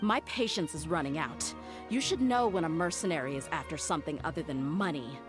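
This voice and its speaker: A woman's voice: low-pitched, animated, a little fast. An actress voicing a blunt, tough female desert mercenary who gives orders and makes threats in a video game. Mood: stern. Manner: commanding, threatening. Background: game music.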